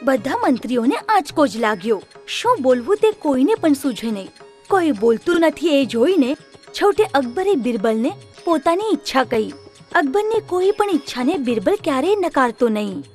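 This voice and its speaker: Lady reading kids stories, super high voice, bouncy. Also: sing-song, moderate pace, a little mellow, faint music behind. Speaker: narrator. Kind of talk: children's storybook narration.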